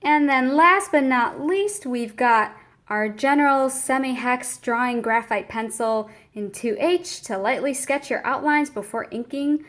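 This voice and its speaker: A woman's voice: high-pitched, measured pace, fairly bright tone. A young woman casually talking through an art supply unboxing, with frequent ums as she reads out product details.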